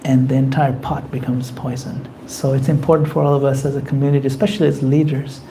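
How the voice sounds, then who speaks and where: A man leading a devotional class, answering questions from the group in an earnest, gently advising way. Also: medium pitch, little pitch movement, fast talker, vocal fry, slight background noise, low-quality recording. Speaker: class leader taking questions. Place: community temple class.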